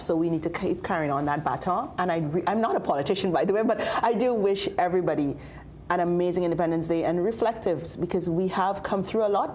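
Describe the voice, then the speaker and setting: An earnest woman with a Guyanese accent talks as a guest on a morning TV show. Her voice sits low, and she often repeats words. A faint hum runs under the studio sound. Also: darkish tone. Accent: Guyanese, softened by Trinidad. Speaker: cancer society guest. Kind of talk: live TV interview.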